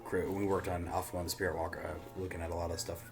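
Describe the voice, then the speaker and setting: A man's voice: sits low, flat delivery, quick pace, vocal fry. A man chatting casually as a reaction host, informal and sweary. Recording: music behind the voice.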